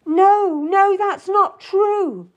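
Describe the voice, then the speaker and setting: A woman's voice: a little high, big pitch swings, measured pace, lo-fi sound, voice pushed up. A woman voicing a distressed, pleading woman in an audiobook drama, emotional and defensive. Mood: distraught.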